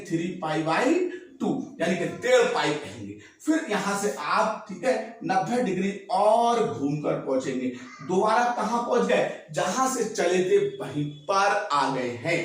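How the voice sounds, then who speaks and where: High-pitched animated male teacher, very echoey. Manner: repetitive, emphatic explaining with rhetorical questions. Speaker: maths teacher. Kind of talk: spoken classroom lesson. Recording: very echoey room, distant mic.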